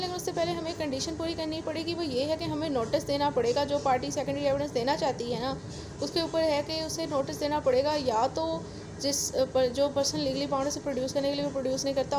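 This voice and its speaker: Woman, Pakistani accent, high voice, law teacher, explains step by step, noisy amateur recording. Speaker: law lecturer. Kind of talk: explanatory lecture, reading out and explaining legal articles.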